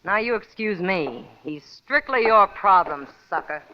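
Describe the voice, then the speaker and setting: Casting: actress as hard-bitten, slangy gun moll for vintage radio noir, brassy and defiant, lo-fi sound. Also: female voice, medium pitch, lively intonation, unhurried, close to an everyday voice. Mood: bitter, tough. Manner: sassy, slangy street-tough talk. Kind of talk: old-time radio crime drama acting. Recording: muffled, lightly noisy old radio recording.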